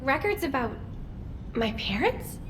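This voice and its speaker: A woman playing a spirited young female soldier in a video game, stubborn yet vulnerable, with expressive emotional delivery. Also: high-pitched, a little slow, noisy background. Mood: hurt, defiant. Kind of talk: video game cutscene acting.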